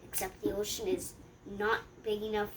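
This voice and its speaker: A young girl reading a rhyming picture book aloud in a halting way, stumbling and correcting herself. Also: medium pitch, flat delivery, slight background noise, rough recording. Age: child.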